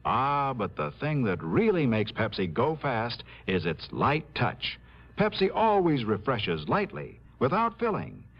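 A male announcer reads a scripted 1960s radio ad. His middle-pitched voice is lively and varied in melody, captured on a dull old broadcast recording. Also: unhurried. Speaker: commercial announcer.

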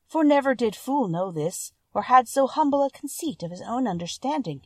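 A woman's voice: a little high, lively intonation, moderate pace, darkish tone, no room sound, pitched above the performer's own voice. A woman voicing a kind, timid young woman in a fairy tale, with an earnest, polite and formal manner. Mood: polite, honest. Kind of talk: storybook character voice.

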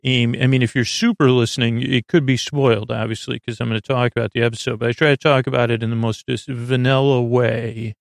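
A man hosting a silly bedtime podcast, talking in a meandering, halting way with frequent stammers and tangents. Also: mid-range voice, steady tone, vocal fry, no room sound, clean studio sound. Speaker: podcast host.

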